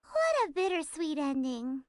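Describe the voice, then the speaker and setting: A woman voicing a small, childlike fairy companion in a video game, cheeky and perky, with a squeaky, exaggerated delivery. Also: sing-song, unhurried, a little hiss or hum. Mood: cheerful.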